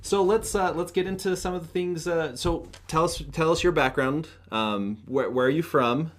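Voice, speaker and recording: A man's voice: fairly high pitch, normal speed. A man co-hosting a casual marketing podcast, asking questions eagerly. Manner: eager, many fillers and restarts. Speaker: co-host.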